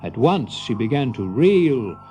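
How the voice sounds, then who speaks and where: A male narrator with a mellow, mid-pitched voice. He tells a storybook tale expressively and confidingly, with lively swings in pitch and soft music behind him. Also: unhurried. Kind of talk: dramatic scripted storybook narration.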